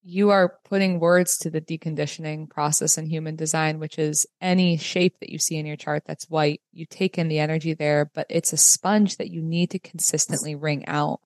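Woman, reader, calm explanatory, low-ish pitch, dry recording. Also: normal speed, few pauses, no echo. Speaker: human design reader, host. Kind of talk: guided reading.

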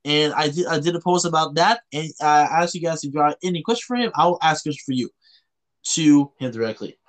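A man from Canada hosting a casual podcast, talking in a halting way and often rephrasing himself. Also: on the high side. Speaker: podcast host.

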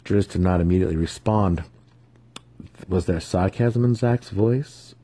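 Deep, dark, husky male storyteller. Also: unhurried. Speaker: audiobook narrator. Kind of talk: dramatic fantasy audiobook narration.

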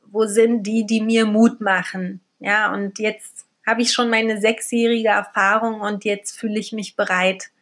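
A middle-aged woman from Berlin chats in a medium-pitched voice. She talks like a personal vlogger and storyteller, informal and candid, in plain colloquial words. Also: level tone, a little slow, a bit bright. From Berlin, Germany. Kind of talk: casual personal vlog chat.

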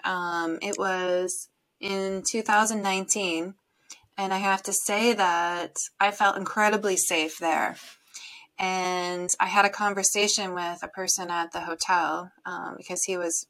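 A woman's voice: on the low side, steady tone, unhurried, fairly bright tone. A woman hosting a podcast, talking thoughtfully and a little ramblingly with many fillers. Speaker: podcast host. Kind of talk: conversational interview.

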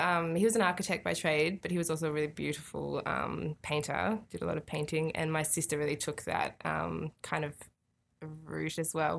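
A woman's voice: low voice, gravelly, slight background noise. A young Australian woman with an Australian accent, a guest in a casual interview, talking hesitantly with many ums and easy laughs. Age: young adult.

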